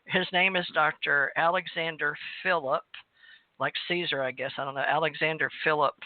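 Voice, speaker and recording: A woman's voice: sits low, steady tone, moderate pace, dry recording. A woman with a Southern accent hosting a call-in show, chatting in a rambling, talkative way and laughing often. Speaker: host. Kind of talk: casual chat on a call-in show. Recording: tinny phone line.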